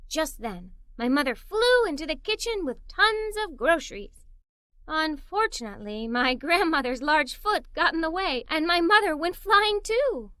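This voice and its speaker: A woman tells a children's story in the first person as a young girl. Her voice is high and bright, with an expressive storyteller's delivery. The recording is close and dry. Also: no room sound. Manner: animated, theatrical, giggly storytelling delivery. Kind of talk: expressive scripted read-aloud for young children, told as a young girl.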